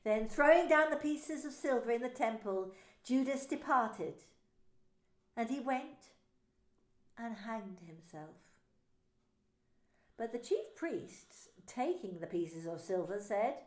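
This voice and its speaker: A woman's voice: on the high side, animated, takes it slowly, pauses often. A woman minister narrating a dramatised scripture story, telling it expressively. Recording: roomy echo.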